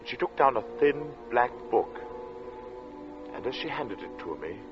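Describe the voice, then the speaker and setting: An actor playing an earnest narrator of a strange tale, speaking in a measured, theatrical 1940s radio drama style. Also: male voice, mid-range voice, animated, lightly acted. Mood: measured, puzzled. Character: earnest male narrator recounting a strange encounter. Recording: muffled vintage radio recording with music.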